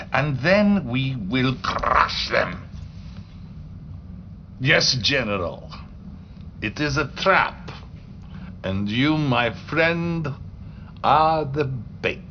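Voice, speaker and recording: An actor playing a treacherous, grandiose Soviet premier in a video game cutscene, speaking deliberately with menace and a Russian accent. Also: male voice, mid-range voice, sing-song, lo-fi sound, slight background noise. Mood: conspiratorial, menacing.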